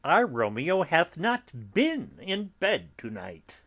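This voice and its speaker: An actor playing an elderly, fatherly friar who muses in classical verse with deliberate pauses and sudden swells, gently chiding. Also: a little high, wildly swooping pitch, breathy edge, exaggerated voice. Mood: fond, chiding. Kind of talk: classical verse drama, theatrical dramatic reading.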